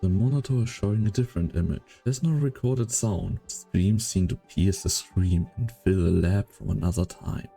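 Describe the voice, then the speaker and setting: A man playing a grave, brooding storyteller, narrating a dramatic fan dub in a gravelly, husky voice. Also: sits low, normal speed, hardly any pauses, dark tone, soft background music, heavily acted.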